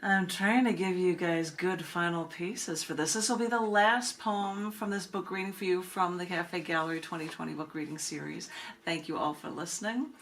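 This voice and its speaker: A woman reading spoken-word poetry in measured, deliberate phrases with dramatic pauses. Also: lowish voice, fairly even intonation, a bit bright, a little hiss or hum. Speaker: poet reading own work, host of the reading.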